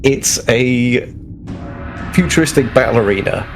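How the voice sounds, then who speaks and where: A man's voice: medium pitch, lively intonation, unhurried, music in the background. A man casually describing his character and scene as a player in a tabletop roleplaying game. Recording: clear background noise.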